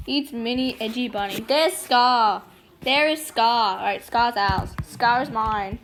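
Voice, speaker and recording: A woman with a very high voice chats her way through a video game in a silly, playful manner. She takes her time, slipping between casual commentary and read-aloud roleplay. There is clear noise behind her. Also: slow.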